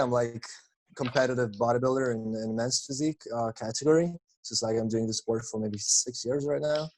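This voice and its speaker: A young man talks as a podcast guest. He is relaxed and laid-back and keeps his pitch fairly flat. His voice sits in a middle register. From Lithuania. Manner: relaxed, laid-back, calls people bro. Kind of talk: casual chat.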